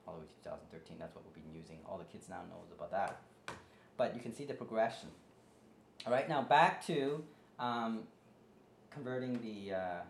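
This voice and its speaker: A man's voice: on the low side, vocal fry. A man in his forties hosting a casual how-to tutorial at his home desk, rambling through fillers and restarts. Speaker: tutorial host. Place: home office desk, indoors. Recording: echoey room, faint background hiss.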